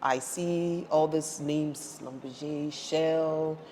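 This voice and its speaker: A woman's voice: sits low, fairly even intonation, slow pace, dark tone. A woman in her thirties from Ghana, raised in Nigeria, telling her career story as an interview guest, with lots of restarts and fillers. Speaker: guest, energy industry professional. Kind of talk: conversational interview. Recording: reverberant room with faint noise.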